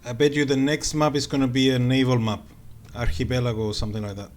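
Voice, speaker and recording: A man's voice: medium pitch, little pitch movement, quick pace. Man streaming a strategy game. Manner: joking, thinking aloud while playing. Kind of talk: live gameplay commentary.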